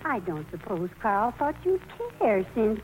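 A woman's voice: medium pitch, very animated, a little slow. An actress playing a fussing, apologetic older mother with a plaintive, soothing manner in a 1940s radio play, on a slightly muffled, noisy broadcast. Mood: fretful, sympathetic.

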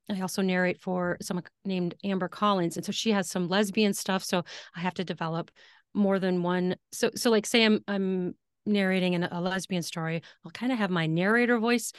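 A woman's voice: fairly low pitch, clear, resonant tone, no room sound, studio quality. A woman guest who narrates audiobooks, talking casually in an interview, laughing often and restarting her sentences.

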